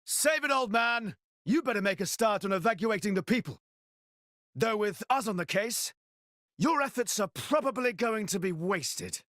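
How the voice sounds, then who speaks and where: An actor with a British accent playing a brash, cocky young adventurer prince in a video game, bold and slangy. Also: male voice, high-pitched, expressive, moderate pace, dry recording, clean studio sound. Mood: defiant, rousing. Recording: loud game music behind.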